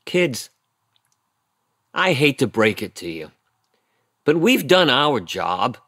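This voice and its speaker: Stern middle-aged dad, indignant and blunt, swooping emphatic delivery, close dry sound. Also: male voice, medium pitch, sing-song, slow, a bit bright, dry recording, full character voice. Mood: firm, dismissive. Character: stern, indignant immigrant-family father, engineer. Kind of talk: audio play acting.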